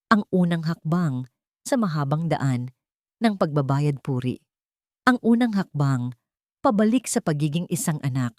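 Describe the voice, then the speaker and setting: Filipino woman reading an emotional audio story, lowish voice, really dry close recording, measured and sentimental. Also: darkish tone, dry recording. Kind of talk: scripted first-person audio story narration.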